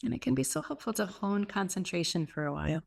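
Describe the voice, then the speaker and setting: A woman leading a guided meditation as a teacher, speaking in an unhurried way with gentle pauses between phrases. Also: medium pitch, lively intonation, vocal fry, slightly rough. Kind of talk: guided meditation, softly instructive.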